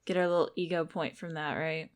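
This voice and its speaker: A woman's voice: deep voice, steady tone, brisk. A woman gamer giving casual commentary on a playthrough, musing aloud and asking herself questions.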